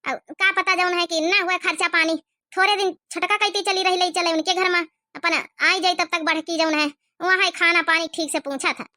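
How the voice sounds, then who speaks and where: A woman's voice: very high voice, steady tone, normal speed, pushed and bright, dry recording, clean studio sound. Meek, plaintive old village grandmother voiced by a woman. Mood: resigned. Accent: Awadhi. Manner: plaintive, hesitant with filler phrases. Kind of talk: Awadhi cartoon comedy voice acting.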